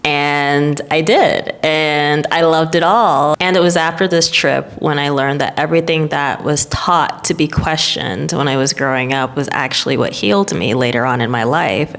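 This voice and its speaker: A woman with a notably low speaking voice talks straight to camera about her own life as an herbalist. She grew up in Florida and speaks in plain American English. Her delivery is candid and unhurried, with a reflective, personal tone. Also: gravelly, fairly bright tone. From Florida, United States.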